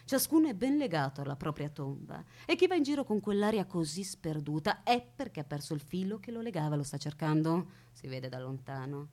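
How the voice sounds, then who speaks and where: A woman's voice: low-pitched, very animated, fairly quick, hardly any pauses, warm tone. A woman playing an eccentric, dreamy and possessive single lady in absurdist theatre, theatrical and whimsical in manner. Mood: dreamy, solemn. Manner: whimsical, theatrical, absurd logic delivered earnestly. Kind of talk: absurdist radio theatre acting.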